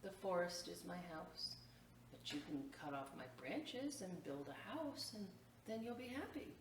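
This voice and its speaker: A woman voicing a loving, giving old female apple tree in a children's storybook, tender and gently encouraging. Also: on the low side, animated, a little slow. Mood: generous. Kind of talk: children's storybook read-aloud. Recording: echoey room, distant mic, faint noise.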